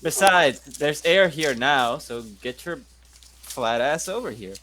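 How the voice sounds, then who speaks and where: A man's voice: a little high, expressive, slow, sharp tone, a little hiss or hum. A man playing a tabletop roleplaying game, switching between in-character talk and bossy, teasing banter.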